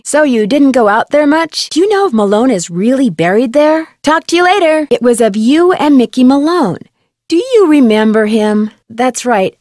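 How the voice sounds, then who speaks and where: Young female sleuth, high voice, dry close recording, inquisitive, polite, video game dialogue. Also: a little mellow, dry recording. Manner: inquisitive, clearly enunciated questioning. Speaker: game protagonist.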